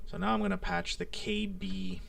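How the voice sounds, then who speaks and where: A man's voice: high voice, very animated, moderate pace, gravelly, fairly bright tone, no room sound, far from the mic. A man hosting a casual live demo, thinking aloud and muttering to himself in short fragments as he works. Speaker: live stream host demonstrating a synthesizer.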